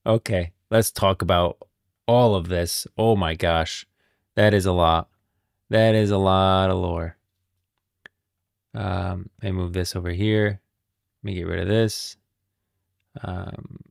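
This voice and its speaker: Male streamer, low creaky voice, casual rambling commentary, dry close sound. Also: dry recording. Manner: rambling, thinking aloud. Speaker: streamer, host.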